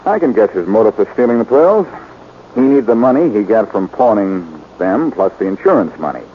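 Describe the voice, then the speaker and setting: An actor playing a quick-witted, breezy amateur detective with wry self-assurance in a 1940s radio drama. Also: male voice, on the low side, animated, natural voice. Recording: muffled, hissy 1940s radio recording.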